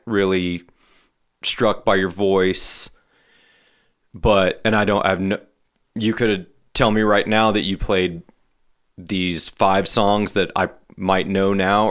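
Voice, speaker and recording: A man in his forties hosting an interview in a loose, halting way, with casual swearing. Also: deep voice, a bit bright, clear, resonant tone. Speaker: podcast host.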